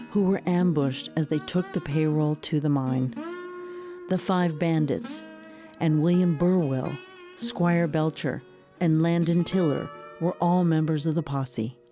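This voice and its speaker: A woman's voice: sits low, mellow. An American woman narrating a historical radio drama in a sober, scripted style. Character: sober female storyteller recounting history.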